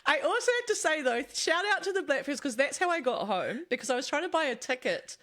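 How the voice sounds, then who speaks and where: Lively New Zealand woman podcaster. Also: high voice, fast talker. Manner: chatty, laughs often, tells anecdotes. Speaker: podcast co-host. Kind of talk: casual podcast chat.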